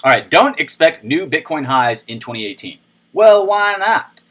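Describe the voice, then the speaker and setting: A man with a low voice hosting a casual crypto news video, reading and commenting on articles in a chatty, playful way. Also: sing-song, normal speed. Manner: chatty and playful, with sudden shouted jokes.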